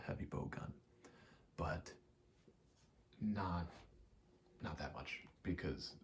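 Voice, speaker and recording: Man, very low pitch, gravelly fry, halting ASMR gaming ramble, noticeable background noise. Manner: halting, meandering, thinking aloud while playing. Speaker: gaming video creator.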